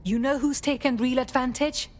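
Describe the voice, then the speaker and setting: A woman's voice: sits high, steady tone, normal speed, close to an everyday voice. An actress playing an earnest, determined female resistance member in a video game, insistent and purposeful. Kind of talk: video game cutscene acting.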